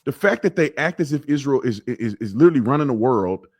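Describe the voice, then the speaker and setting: A man's voice: medium pitch, expressive, background noise. A man interviewing a guest, stumbling over and repeating words as he works out his question. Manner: halting, repeats words while searching for phrasing.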